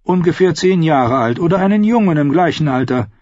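A man's voice: on the high side, lively intonation, fairly quick, darkish tone, no echo, dull audio, pitched above the performer's own voice. Man voicing a wry, mockingly polite outlaw singer. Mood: mock-friendly. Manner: teasing, falsely courteous. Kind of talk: audiobook character voice.

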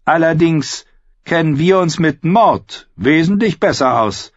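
A man's voice: fairly high pitch, lively intonation, unhurried, dry recording, dull audio, pitched above the performer's own voice. A man voicing a big, coarse outlaw in an audiobook, brusque and contemptuous. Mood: scornful. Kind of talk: audiobook character voice.

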